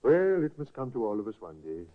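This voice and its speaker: An actor in a 1950s radio drama playing a calm, kindly doctor who hesitates now and then, heard through a tinny old broadcast. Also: male voice, mid-range voice, a little fast, close to an everyday voice.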